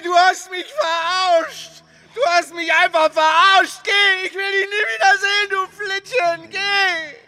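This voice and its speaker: A man's voice: very high-pitched, bright, forceful tone, full character voice. An actor playing a lovesick, easily wounded bear businessman in an earnest German radio drama. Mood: anguished rage. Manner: earnest, slow declarations, breaks into screaming. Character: lovesick bear businessman, tender, pleading, then hysterically wounded.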